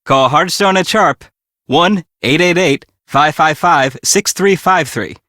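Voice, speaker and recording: Commercial announcer, earnest legal pitch, deliberate salesy delivery, crisp bright tone, very dry. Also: male voice, no room sound. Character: earnest male lawyer-advert announcer. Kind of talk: parody radio commercial read.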